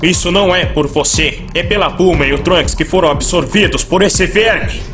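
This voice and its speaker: A man plays a proud, grumpy warrior with a fairly high voice. He is curt and dismissive, acted for a Brazilian anime fan dub over loud music. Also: normal speed, lightly acted. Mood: defiant. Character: proud, grumpy adult male warrior rival.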